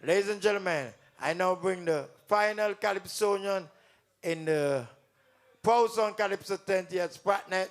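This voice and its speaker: A man's voice: high-pitched, normal speed. A man with a Kittitian accent hosting a live stage show as emcee, teasing audience members directly with playful banter. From St Kitts. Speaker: calypso tent emcee and comedian. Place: live calypso tent stage before an audience.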